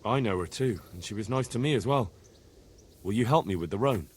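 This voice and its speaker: A man's voice: fairly low pitch, lively intonation, a little fast, slight background noise, lightly acted. A man playing an earnest, polite young medieval horseman in a video game cutscene.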